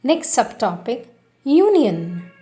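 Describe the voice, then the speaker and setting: A woman reading a spiritual text aloud in a solemn, deliberate, devotional way, with emphatic phrasing and frequent pauses. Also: fairly high pitch, sing-song, dark tone, soft background music. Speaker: reader of spiritual texts.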